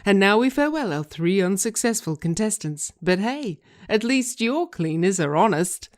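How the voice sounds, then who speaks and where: A woman's voice: mid-range voice, very animated, normal speed, close to an everyday voice. A woman voicing a glib, upbeat reality television host with emphatic presenter projection, in a screenplay reading.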